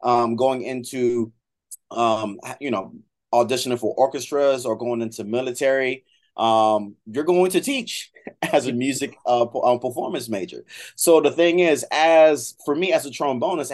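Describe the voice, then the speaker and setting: A middle-aged man with an American accent talking conversationally as an interview guest, often repeating and rephrasing as he goes. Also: medium pitch. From Miami, United States. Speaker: guest, trombone professor.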